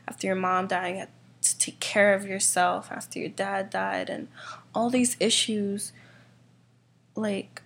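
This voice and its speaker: A young woman interviewing someone, asking questions in a casual way with plenty of fillers. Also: medium pitch.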